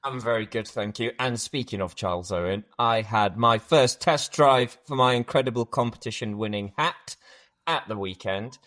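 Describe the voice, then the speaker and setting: A man's voice: mid-range voice, moderate pace, a bit bright. A man co-hosting a podcast, chatting casually with dry, teasing humour. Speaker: podcast co-host. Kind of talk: casual, bantering podcast chat.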